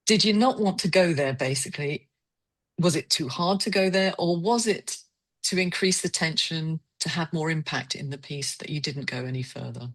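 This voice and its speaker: A woman's voice: low-pitched, normal speed. A woman hosting a literary conversation, asking reflective questions in a tentative, searching way. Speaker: interviewer hosting a poetry salon.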